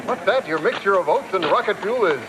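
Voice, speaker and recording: An actor playing a heroic, over-earnest cowboy ranger in a theatrical radio comedy style. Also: male voice, high voice, lively intonation, fast talker, heavy background noise. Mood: urgent, comic. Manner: theatrical, exaggerated.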